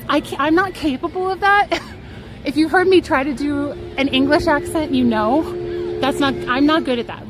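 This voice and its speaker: A woman live-streaming from a theme park, telling a story in a chatty, giggly, self-deprecating way. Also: sits high. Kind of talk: casual storytelling to a live chat. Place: outdoors at a theme park on a rainy day. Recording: park music and crowd noise behind.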